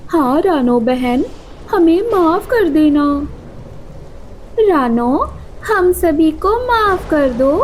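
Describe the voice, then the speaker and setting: A woman voicing flighty forest bird neighbours in a cartoon, excitable and apologetic in manner. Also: high-pitched, takes it slowly, long pauses, a little hiss or hum, voice pushed up. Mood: apologetic, admiring.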